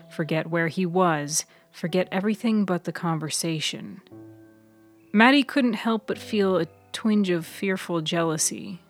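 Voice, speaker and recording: A woman narrating scripted fiction in a deliberate, dramatic way. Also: fairly low pitch. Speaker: author and narrator.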